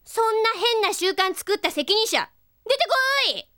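Brash, high-pitched young rocket pilot. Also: female voice, animated, moderate pace, a bit bright. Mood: indignant, flustered. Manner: brash, indignant, emphatic. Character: hot-tempered, stubborn young female rocket pilot. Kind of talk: Japanese comedy audio drama acting.

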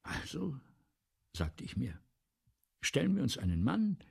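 An actor in a radio play as a vain, anxious middle-aged playwright, obsessive, halting and theatrical, repeating himself. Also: male voice, mid-range voice, huge pitch swings, vocal fry, a little mellow, slightly rough, heavily acted. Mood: brooding, weary. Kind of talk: 1970s German radio play acting.

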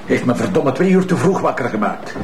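A man's voice: medium pitch, sing-song, a little fast, lightly acted. Curt Flemish police commissioner, played by a man, roomy sound. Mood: irritated, snappy. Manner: curt, snappy, sarcastic. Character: stubborn, grumpy male police commissioner. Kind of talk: naturalistic television drama dialogue. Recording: roomy indoor sound, faint background noise.

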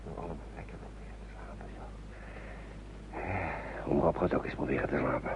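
A man's voice: a bass voice, normal speed. An actor playing a fatherly man settling children down in the hay in a radio play, coaxing with short repeated phrases. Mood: coaxing, soothing. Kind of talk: naturalistic radio play dialogue. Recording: dull old recording, loud background noise.

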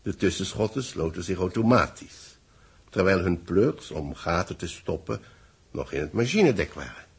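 An actor playing a knowing, measured male newspaper reporter in a 1960s Dutch radio drama, with a gravelly, husky voice. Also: low-pitched, lively intonation, heavily acted. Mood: explaining, grave.

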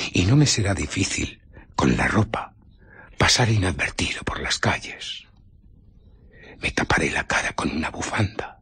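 An actor with a Castilian Spanish accent playing an agitated invisible scientist recounting his ordeal in a radio drama, moving theatrically between hushed murmurs and outcries. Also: deep voice, slightly rough, exaggerated voice. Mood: hushed, wary.

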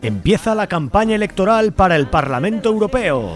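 A man's voice: high-pitched, sing-song, brisk. A man with a Spain Spanish accent co-hosting a radio show, reading a scripted political editorial. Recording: music bed behind the voice. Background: music.

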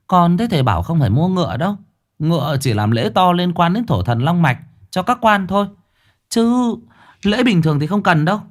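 A man voicing a sensible young adult daughter, who talks briskly and reassuringly. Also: sits high, very animated, pitched above the performer's own voice. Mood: dismissive.

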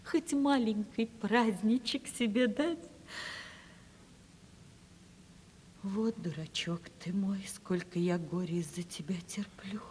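An actress playing an anguished, resigned young woman in love in a 1970s Soviet radio play, hushed and breathy. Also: mid-range voice, measured pace, slight background noise, lightly acted. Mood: aching tenderness. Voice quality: breathy, husky. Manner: heartfelt, plaintive, hushed. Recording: old radio play with long reverb.

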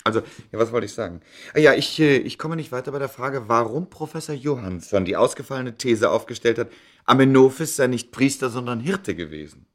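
A man's voice: medium pitch, expressive, brisk, close to an everyday voice. A man acting in a German radio play as a self-absorbed, stubborn scholar, boastful yet anxious, with a brusque, hesitant delivery. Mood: absorbed, musing. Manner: hesitant filler-laden speech, brusque, interrupting.

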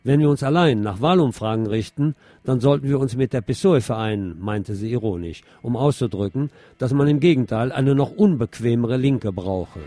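A man's voice: medium pitch, normal speed, hardly any pauses, warm tone. A man reading scripted news as a radio presenter.

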